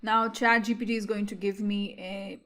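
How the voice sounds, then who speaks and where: A woman whose first language is Hindi, walking viewers step by step through a software tutorial. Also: mid-range voice, flat delivery, normal speed, a little hiss or hum. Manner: explanatory, with frequent fillers and restarts. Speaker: tutorial host. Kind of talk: screen-share software tutorial.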